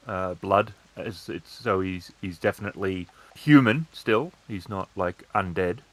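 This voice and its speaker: A man improvising the narration of a tabletop roleplaying game in measured, halting phrases. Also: lowish voice, a bit bright, no echo, low-quality recording.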